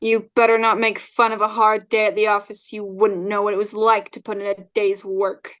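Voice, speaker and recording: A woman's voice: mid-range voice, normal speed, highs cut off, natural voice. A woman playing a bitter, sarcastic wife who is leaving her husband, in an old-time radio drama with cutting delivery. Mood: scornful, needling. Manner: cutting, scornful, deadpan sarcasm.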